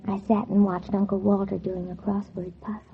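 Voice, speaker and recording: A woman's voice: mid-range voice, flat delivery, brisk, a little hiss or hum, close to an everyday voice. Woman playing a scheming teenage girl, old tinny radio. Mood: bitter, confiding. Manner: feigned sweet innocence turning coldly bitter. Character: scheming, spiteful teenage girl narrating her own revenge. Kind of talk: 1940s radio drama acting. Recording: muffled, tinny old 1940s radio recording.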